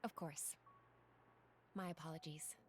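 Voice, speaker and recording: An actress playing a serene young woman envoy in a video game cutscene, speaking with formal, measured courtesy. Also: deep voice, steady tone, slight background noise. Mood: gentle, polite. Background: music.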